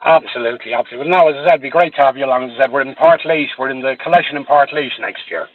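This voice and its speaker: A man's voice: mid-range voice, racing, dry recording. A man hosting a casual interview from his parked car, brief and agreeable as he steers the talk. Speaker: host. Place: parked car by passing traffic. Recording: tinny phone line.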